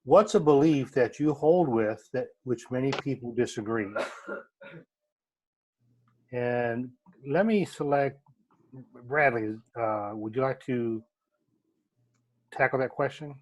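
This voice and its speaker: A man leading a club meeting session in a friendly, halting way, with many fillers and repeats. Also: mid-range voice, darkish tone, low-quality recording.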